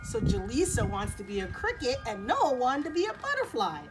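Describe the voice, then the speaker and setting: A woman storyteller reading expressively to children, pausing to explain and repeat things in simple words. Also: a little high, huge pitch swings, low-quality recording. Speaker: storyteller and host. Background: music.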